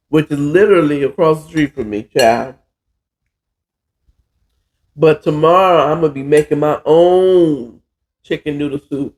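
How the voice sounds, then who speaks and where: Hoarse, stuffy-voiced man chatting slowly. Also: on the high side. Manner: chatty, drawling, says "child" a lot. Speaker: podcast host. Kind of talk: casual cooking chat.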